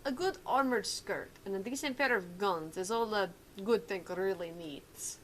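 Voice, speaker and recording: A woman's voice: mid-range voice, moderate pace, close to an everyday voice. A woman voicing a shy, easily flustered but proud and formal tank girl in a visual novel game. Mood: prim, formal. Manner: stammering bashfully, then stiffly formal.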